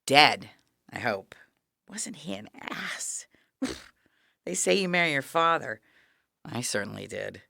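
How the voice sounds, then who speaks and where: An actress in an audio play playing a dying younger sister, weary, wry and resigned, with a frail, halting, breathy delivery that drops to whispers. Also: female voice, a bass voice, very animated, bright, forceful tone, no echo, clean studio sound, full character voice. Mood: wry, tired. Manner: frail, halting, wryly understated. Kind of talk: intimate audio play acting.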